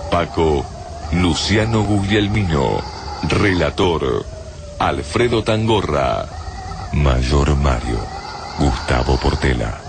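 A man makes a formal radio announcement. He speaks slowly and emphatically, with loud music behind him. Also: a bass voice.

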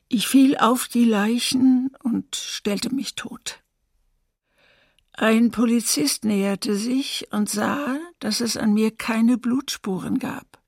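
Woman survivor testifying, grave and slow, bit high, really dry studio sound, radio drama. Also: a little mellow, no room sound. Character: woman survivor of a wartime massacre recounting her escape.

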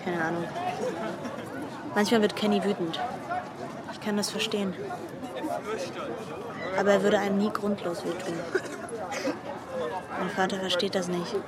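Teenage girl, played by an actress, aloof and defiant, offhand monologue for radio drama, out among loud crowds. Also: mid-range voice, flat delivery, measured pace, pauses often, natural voice. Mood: cool, detached. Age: young adult. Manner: offhand, dismissive. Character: aloof teenage schoolgirl, missing boy's girlfriend, coolly defiant. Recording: busy outdoor crowd noise behind.

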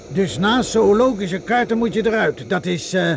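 Radio drama role: kindly muddled older man on a journey, creaky voice pitched a bit high, clearly noisy recording. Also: expressive, moderate pace. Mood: concerned, fatherly. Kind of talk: radio play acting.

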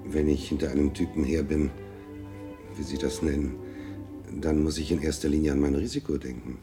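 An actor playing a calculating investigator in a radio drama, speaking in a measured, formal and insistent manner. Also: male voice, a bass voice, fairly even intonation, over music. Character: persistent, calculating male investigator hired to track someone down. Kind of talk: naturalistic radio drama acting.

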